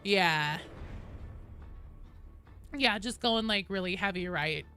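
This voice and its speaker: A woman's voice: medium pitch, takes it slowly, bright, forceful tone, soft background music. A woman in her late thirties chatting casually on a game livestream and reading out viewer messages, informal and sweary. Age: late 30s. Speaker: game streamer.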